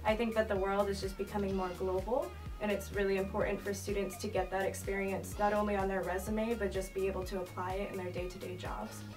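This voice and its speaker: A woman's voice: medium pitch, level tone, fairly quick, few pauses. Young woman explaining, distant mic. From Massachusetts, USA. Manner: earnest, explanatory, occasional fillers. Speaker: study abroad office manager. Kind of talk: documentary interview. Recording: distant mic with music underneath.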